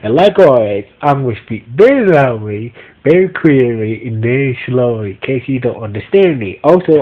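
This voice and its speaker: A man with a stuffy nose, recapping a TV episode as a podcast reviewer, talking in halting bursts full of restarts and repeated fillers. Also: medium pitch, a little hiss or hum. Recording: dull lo-fi audio.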